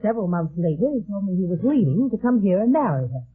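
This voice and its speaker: An actress playing a worried, earnest farm woman in a 1950s radio detective drama, speaking haltingly on a muffled old recording. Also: mid-range voice, big pitch swings, husky. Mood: wistful, worried. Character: anxious farm woman seeking her missing brother.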